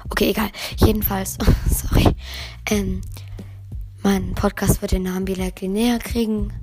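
A hoarse teenage girl hosting her own podcast, chatting casually and rambling, often correcting herself. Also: mid-range voice, level tone, measured pace, loud music behind the voice. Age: teens. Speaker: podcast host. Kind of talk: casual solo podcast chat.

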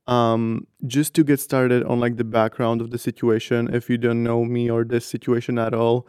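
A nineteen-year-old man from Slovakia, not a native English speaker, hosting his own podcast in casual, earnest talk. His voice is slightly hoarse, and he speaks candidly with many "like" fillers. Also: mid-range voice, flat delivery, normal speed, darkish tone, no echo, studio quality. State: slightly hoarse from long talking. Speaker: podcast host.

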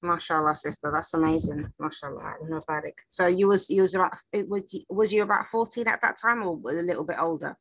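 A woman's voice: on the low side, fast, hardly any pauses, dry recording, dull audio. A woman hosting a podcast, introducing the show and interviewing a guest in a conversational way.

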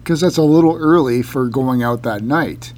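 A man's voice: medium pitch, moderate pace. Dry-joking male podcast co-host. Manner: dry, deadpan ribbing. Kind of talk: casual banter.

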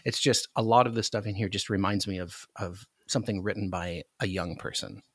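A man's voice: low voice, steady tone, a little fast, a bit bright, dry recording, clean studio sound. A man guesting on a podcast, discussing books casually in a wry, emphatic way. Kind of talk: casual book discussion chat.

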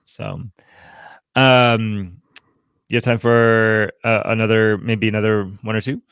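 A man co-hosting a podcast in casual, reflective discussion, speaking haltingly and often repeating words as he restarts. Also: fairly low pitch, fairly even intonation, a bit bright, clean tone, no room sound, studio quality. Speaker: podcast co-host.

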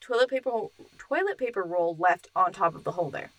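A woman co-hosting a casual podcast chat, with a low, slightly raspy voice that is still a bit hoarse, telling stories with lots of animation. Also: brisk, low-quality recording. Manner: animated storytelling, laughing often. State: slightly hoarse after losing her voice. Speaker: podcast co-host.

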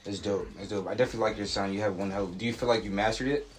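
A man's voice: lowish voice, fairly even intonation, rapid-fire, slight background noise. A man hosting a podcast, asking easygoing questions in casual chat. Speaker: podcast host.

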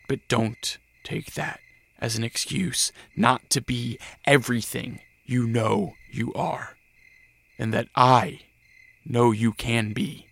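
An actor playing a weary, protective vampire count in a radio drama, speaking in a measured, halting, understated way. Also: male voice, mid-range voice, gravelly, fairly bright tone, exaggerated voice.

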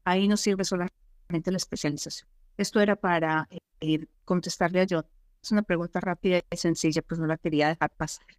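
A woman's voice: on the low side, steady tone, a little fast, dry recording. A middle-aged woman, an immigration consultant co-hosting a talk show, explaining her points emphatically and often asking rhetorical questions. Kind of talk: advisory talk show chat.

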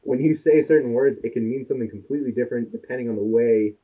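A man's voice: medium pitch, fast. A man co-hosting a podcast in casual chat, telling anecdotes and quoting others as he goes. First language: English. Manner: chatty, tells anecdotes, quotes others in his stories. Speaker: podcast co-host. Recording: tinny phone-like sound.

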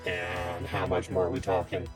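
Man as a deep, cool underworld weapons buyer. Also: monotone, music in the background, lightly acted. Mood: cool, bargaining. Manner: measured, businesslike, smooth negotiator. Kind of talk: improvised tabletop role-play.

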